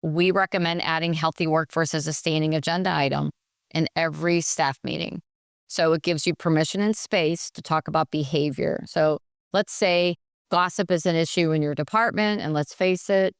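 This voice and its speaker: A middle-aged woman speaks as a nurse consultant on a podcast, energetic and practical, and she slips into little acted-out quotes. Her voice sits a little low and sounds smooth and clear. The recording is dry and close. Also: moderate pace, dry recording. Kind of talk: podcast interview answer.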